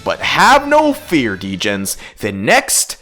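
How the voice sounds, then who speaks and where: A YouTube host delivering a comedic scripted rant in a theatrical, exaggerated way, with drawn-out words and outbursts. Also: mid-range voice, huge pitch swings, sharp tone. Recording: music behind the voice. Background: background music.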